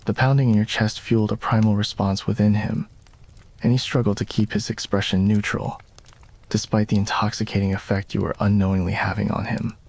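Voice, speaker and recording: A man with a fairly low voice narrates a romantic story in ASMR style. The delivery is scripted storytelling, read as a roleplay. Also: flat delivery, a little fast, gravelly, a little mellow.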